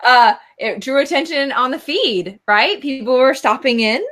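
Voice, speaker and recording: A woman's voice: sits high, normal speed, crowd noise. A woman co-hosting a live show in a casual, chatty, teasing way. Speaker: co-host of a business live show. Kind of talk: casual chat. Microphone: live video call.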